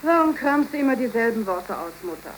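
An actress playing a cheerful, devoted young seamstress in love, in a 1930s German radio play, with an eager, expressive delivery. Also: female voice, a little high, brisk. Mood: earnest, hopeful. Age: young adult. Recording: noisy 1931 radio recording.

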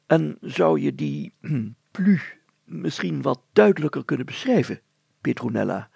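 Pompous, nervy storybook mayor, played by a male narrator: fussy, hemming, stammering, formal, with a rough gravelly edge. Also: mid-range voice, wildly swooping pitch, unhurried, darkish tone, no echo, pitched above the performer's own voice, exaggerated voice. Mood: hesitant. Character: flustered, pompous mayor, adult man, nervously fussy. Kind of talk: children's story character voice.

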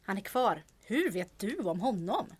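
An actress playing a brisk, dry-witted town hall clerk in a period audio drama. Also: female voice, mid-range voice, very animated, husky. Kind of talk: period radio drama acting.

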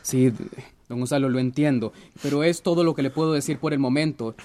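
A man's voice: medium pitch, fairly quick, dark tone, dry recording, lightly acted. A man playing a polite, apologetic young police officer with a Central American accent, speaking formally and hesitantly in a radio drama. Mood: apologetic, firm. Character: polite, apologetic local police officer bearing bad news.

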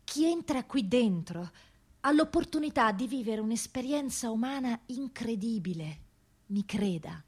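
A woman's voice: fairly high pitch, expressive, a little slow, darkish tone, slightly rough. Woman playing a welcoming, chatty female prison official. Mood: earnest. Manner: talkative, earnest.

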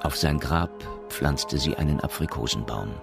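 A man narrating a science fiction radio drama as a grave, measured chronicler with a gravelly voice. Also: extremely low pitch. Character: grave chronicler of a space colony's history. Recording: music under the narration. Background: music.

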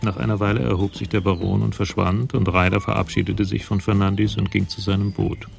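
A sober crime story narrator with a low, heavily creaking voice. He tells events matter-of-factly in scripted radio drama style, over clearly audible music. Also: level tone, a little fast. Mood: sober narration.

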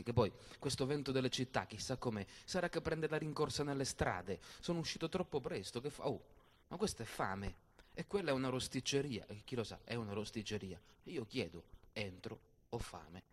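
Un attore che interpreta un giovane barone ingenuo ed eccitabile, appena arrivato in città, in un monologo comico teatrale pieno di esitazioni e ripetizioni. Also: male voice, mid-range voice, natural voice. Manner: chattering, hesitant, repeating himself. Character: naive, excitable young baron, newcomer gawking at the city.

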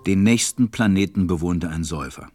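A man narrating a scripted children's story in a German radio play, with measured storytelling. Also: low voice, a little mellow, soft background music.

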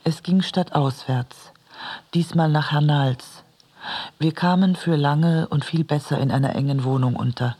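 A man reading literary prose for a radio drama in a measured, deliberate way. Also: fairly high pitch, warm tone, slightly rough. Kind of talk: literary narration, radio play.

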